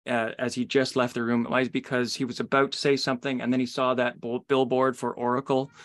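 Man hosting a podcast, mid-pitched. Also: flat delivery, fairly quick. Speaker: podcast host.

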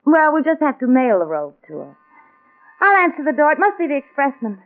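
An actress playing a fussy, insistent, fretful wife with a brisk, bossy manner in 1940s radio thriller style. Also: female voice, sits high, big pitch swings. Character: fussy, insistent young wife, fretting over her mother. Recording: narrow, tinny 1940s radio recording.

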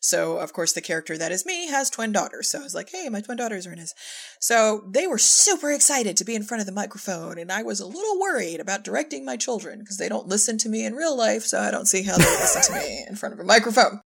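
A middle-aged woman with a husky, gravelly voice telling anecdotes in a casual interview as a director, animatedly quoting herself and others. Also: medium pitch, quick pace. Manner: animated storyteller, acts out quoted remarks. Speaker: podcast guest, audio drama director.

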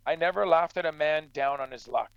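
An actor playing a stern, practical farmer in a radio drama, blunt and admonishing but fair-minded. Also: male voice, a little high, a little fast, smooth, dry recording, muffled recording, lightly acted. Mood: wary, protesting. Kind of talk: true crime radio drama acting.

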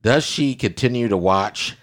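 A man with a raspy voice hosting a casual podcast, telling stories in an animated way and repeating himself for effect. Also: mid-range voice, unhurried. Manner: animated storytelling with repetitions. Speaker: podcast host.

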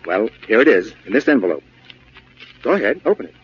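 An earnest, polite businessman speaks with very lively, swinging pitch. He is kindly and a little awkward, in the 1940s radio manner, on a muffled, faintly noisy old broadcast. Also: fairly quick. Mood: awkward, friendly. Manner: encouraging, polite, somewhat stammering. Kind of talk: 1940s radio comedy acting.

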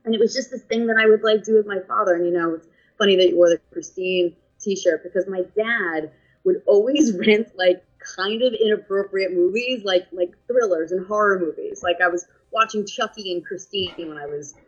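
A woman's voice: medium pitch, normal speed. A woman in her thirties with a heavy New York accent, chatting as an interview guest, often laughing and using fillers. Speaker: actress guest. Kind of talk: casual interview chat. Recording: muffled lo-fi audio.

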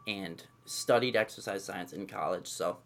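A man in his mid-twenties talks through his background in a loose, rambling way, often leaning on filler words. His voice sits on the low side. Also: normal speed, gravelly, a little hiss or hum. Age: 20s. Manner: relaxed, rambling, many fillers and "essentially". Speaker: guest, movement coach. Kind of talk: casual conversational interview.

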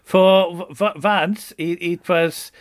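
A man voicing a pompous, fussy prince with an odd put-on accent, speaking in halting, stammering restarts and grand formal phrases in an improvised comedy sketch. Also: sits high, fairly even intonation.

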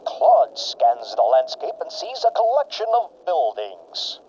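An actor playing a pompous, grandiose superhero serial announcer, narrating a melodramatic radio play. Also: male voice, fairly high pitch, sing-song, slow pace, warm tone. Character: bombastic old-time superhero serial announcer. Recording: muffled, noisy lo-fi audio.

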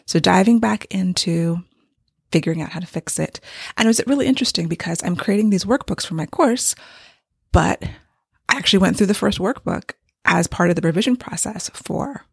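A woman talking casually about her writing in a candid, rambling way, her voice strained and gravelly from overuse. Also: mid-range voice, no room sound, studio quality. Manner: candid and rambling, with light laughs. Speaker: novelist giving a writing update. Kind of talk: casual vlog monologue. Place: home with hardwood floors.

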